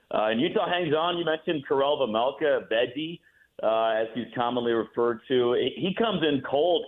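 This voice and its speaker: An American man from the Midwest, a play-by-play announcer giving a casual sports interview with animated, joking phrasing. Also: medium pitch. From Midwestern United States. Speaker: radio play-by-play announcer, guest. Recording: thin phone-like line.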